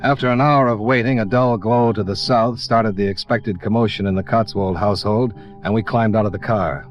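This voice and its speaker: An American man playing a terse, hard-boiled investigator in an old radio drama, dry and deliberate. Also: fairly low pitch, steady tone, soft background music, close to an everyday voice. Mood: grim narrating. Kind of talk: 1950s radio narration and acting. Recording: dull old radio recording.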